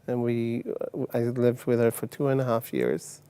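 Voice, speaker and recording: Older guy in his 60s from uganda via england, telling his life story, mellow voice, kinda halting. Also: mid-range voice, animated, clear, resonant tone. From Uganda, later England. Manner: halting, repeats words as he recounts. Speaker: testimony guest. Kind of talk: personal testimony in an interview.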